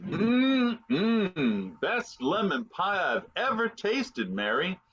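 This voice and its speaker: A man's voice: sits high, huge pitch swings, slow, a bit bright, husky, full character voice. A man playing a hearty, folksy dinner guest in old-time radio style, exaggerated, on a dull, slightly noisy recording. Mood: jovial, savoring. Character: hearty, exclaiming male dinner party guest.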